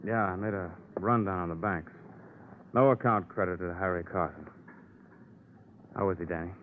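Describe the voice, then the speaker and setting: A man's voice: low voice, normal speed, close to an everyday voice. Male actor as reflective cop doing legwork, 1950s radio crime show; rhetorical, talky delivery, narrow vintage broadcast sound. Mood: easygoing. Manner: wordy, musing, rhetorical. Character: streetwise, musing police detective, loyal legwork man. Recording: muffled, noisy old radio recording.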